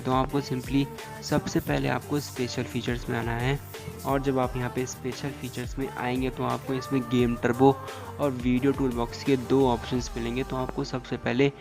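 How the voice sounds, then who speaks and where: A man giving a step-by-step phone tutorial as a YouTuber, repeating instructional phrases and mixing in English tech terms. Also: medium pitch.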